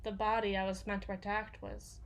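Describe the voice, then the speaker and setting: A woman playing a gruff, sweary villain's bodyguard who confides haltingly and sardonically in an intimate ASMR audio roleplay. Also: medium pitch, flat delivery, a little hiss or hum, close to an everyday voice. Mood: wistful grief. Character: gruff, foul-mouthed female villain's bodyguard, sardonic and haunted.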